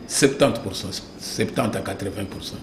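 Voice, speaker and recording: A man's voice: on the low side, moderate pace. A man from DR Congo with a Congolese French accent, speaking insistently as a political interview guest, repeating phrases and posing rhetorical questions. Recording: roomy echo with clear background noise.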